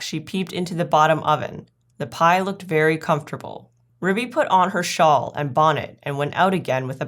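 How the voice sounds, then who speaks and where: A woman with a low voice narrates a children's story. She reads the scripted tale aloud as a storyteller.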